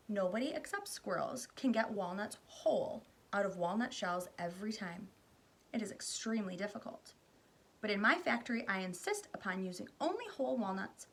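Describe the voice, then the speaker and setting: A woman voicing an eccentric, excited man who owns a candy factory, calling out in a high, loud voice. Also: normal speed. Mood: gleeful.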